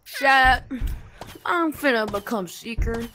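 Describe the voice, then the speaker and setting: A young streamer chatting casually with viewers in slang during a game. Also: female voice, fairly high pitch, lively intonation, slow, background noise. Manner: slangy, talks to chat. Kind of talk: casual gaming chat to viewers.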